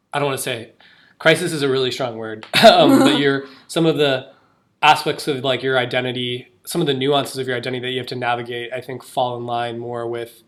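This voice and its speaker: Young man, bright tone, rambling casual chat, lots of likes and restarts. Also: medium pitch. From United States. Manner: chatty, filler-heavy, many restarts. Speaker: podcast co-host.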